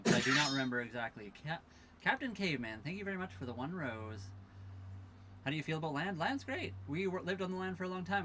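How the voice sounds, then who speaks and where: A young man hosts a casual livestream from the cabin of his sailboat, tied up at a marina. His mid-pitched voice sounds tired and laid-back as he reads out viewer questions and thanks followers. A faint hiss of room ambience sits under the recording. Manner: relaxed, chatty, thanks viewers for follows and gifts. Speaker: livestream host.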